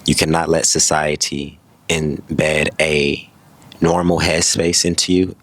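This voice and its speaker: A man in his twenties giving a motivational vlog talk, fast and rambling, often correcting himself mid-sentence. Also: extremely low pitch, little pitch movement, fairly bright tone, dry recording. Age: 20s. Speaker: vlogger.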